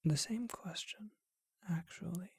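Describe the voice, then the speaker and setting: A performer voicing a charming, bookish ancient vampire in a whispered ASMR roleplay, speaking in a refined, unhurried, musing way with a breathy voice. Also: medium pitch, dry recording, studio quality, heavily acted. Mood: intimate, reflective.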